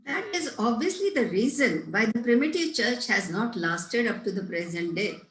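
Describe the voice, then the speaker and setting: Roomy, high, swooping woman as a prim governess. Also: animated, moderate pace, close to an everyday voice. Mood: coyly lecturing. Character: Miss Prism, prim, moralizing middle-aged governess. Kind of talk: amateur table read of a stage comedy. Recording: roomy echo.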